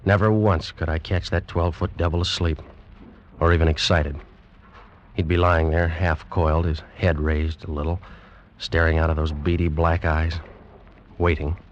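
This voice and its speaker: An actor playing an uneasy ship's officer in a vintage radio suspense drama, with measured, ominous delivery. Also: male voice, sits low, background noise, close to an everyday voice. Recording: dull vintage radio recording, music behind.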